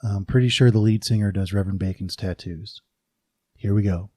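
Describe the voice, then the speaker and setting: A man's voice: lowish voice, fairly even intonation, brisk, a little mellow, a little hiss or hum. A man giving a scripted introduction as a podcast host.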